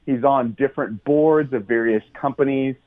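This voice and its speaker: A man's voice: mid-range voice, moderate pace. American man on a tinny phone line. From United States. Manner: earnest, explanatory, with many ums and restarts. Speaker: journalist guest. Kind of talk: phone interview on talk radio.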